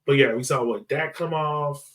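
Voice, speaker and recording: A man's voice: mid-range voice, normal speed, far from the mic. Playful man hosting, slightly off the mic. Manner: playful, laughs often. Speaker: soccer podcast host. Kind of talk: casual chat, joking sports talk.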